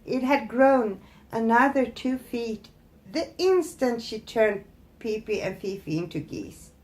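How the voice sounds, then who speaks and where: A woman storyteller narrating a fairytale in an expressive, deliberate way. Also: on the high side. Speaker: storyteller, host.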